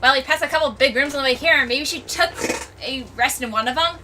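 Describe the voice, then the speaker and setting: A woman's voice: high voice, fast talker, noisy background, voice pushed up, natural voice. A woman voicing a brash, impatient teenage boy in a story, blunt and cheeky. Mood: concerned, cheeky.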